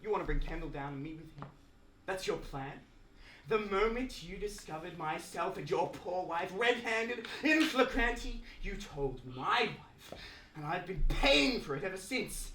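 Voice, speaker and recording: A pompous, aggrieved Australian lecturer played by a teenage male student actor declaims in florid, oratorical style. The voice is bright and forceful. It rings in a roomy, echoing stage space, as in a theatrical monologue. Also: high-pitched, animated, unhurried, heavily acted. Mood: bitter, accusing. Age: teens. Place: indoor school drama showcase, filmed performance. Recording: roomy echoing stage, faint noise, clicks.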